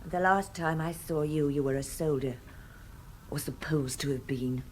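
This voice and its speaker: A woman's voice: deep voice, normal speed, slight background noise, lightly acted. An actress in a radio drama playing an indignant, distressed Englishwoman, with an English accent. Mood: bewildered, bitter. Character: indignant, distressed Englishwoman confronting her brother.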